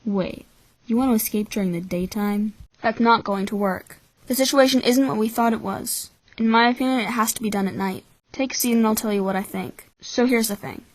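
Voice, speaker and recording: A woman voicing a cynical, calculating twelve-year-old orphan boy in a measured, blunt anime-style fan dub. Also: a little high, steady tone, no room sound, close to an everyday voice, poor audio. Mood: cool, calculating.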